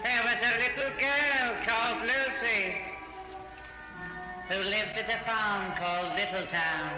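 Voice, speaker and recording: Slow storybook woman over loud music. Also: mid-range voice. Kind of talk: children's storybook narration. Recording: echoey, with loud music behind.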